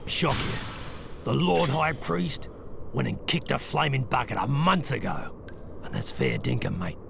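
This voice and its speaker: An actor playing a gruff, bullying prison guard in a video game, with a gravelly voice and a broad Australian accent. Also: male voice, mid-range voice, animated, unhurried, noisy background. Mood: blunt. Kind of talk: video game character acting.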